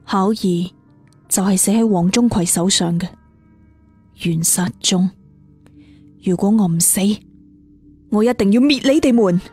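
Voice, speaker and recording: A woman's voice: mid-range voice, measured pace, long pauses, dark tone, breathy edge. A woman voicing an earnest, determined young cultivator in a fantasy audiobook. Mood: vengeful resolve. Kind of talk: audiobook character dialogue. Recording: music behind the voice.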